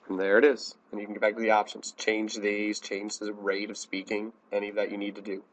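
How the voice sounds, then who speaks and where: An American man teaching a software tutorial in a plain, step-by-step instructional manner. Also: on the low side. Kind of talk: instructional screencast narration.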